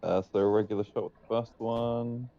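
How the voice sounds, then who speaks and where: A man playing a tabletop game, talking casually and tentatively in a low-key way. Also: steady tone, moderate pace. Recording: dull, muffled audio.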